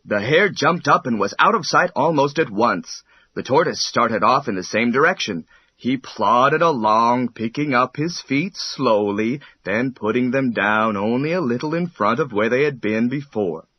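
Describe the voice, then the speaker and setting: Husky, expressive male storyteller. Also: mid-range voice, a little slow, no room sound, muffled recording. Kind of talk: scripted children's bedtime story narration.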